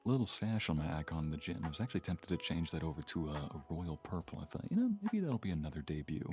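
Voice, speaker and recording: A man's voice: very deep voice, sing-song, fast talker, darkish tone, soft background music. A man chatting casually as a guest on a livestream, joking and chipping in.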